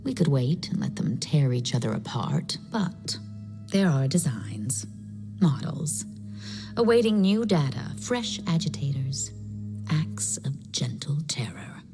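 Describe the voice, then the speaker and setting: An actress playing a calm, cryptic female leader of a chaos faction, soft and measured, slipping into whispers. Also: extremely low pitch, exaggerated voice. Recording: reverberant space with clear game music.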